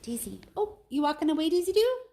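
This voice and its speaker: A woman's voice: high voice, sing-song, fast, very noisy. A woman reading a children's novel aloud at home like a teacher, with informal asides to her listeners.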